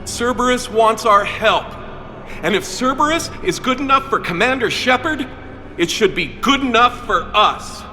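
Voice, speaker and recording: An overeager sci-fi game fanboy with a bright voice that bounces all over. He is bumbling and apologetic, hesitating as he gets flustered, with music playing behind him. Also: extremely high pitch, animated, normal speed, exaggerated voice. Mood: eager. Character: eager, bumbling, apologetic male fan of a space commander. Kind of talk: video game voice acting.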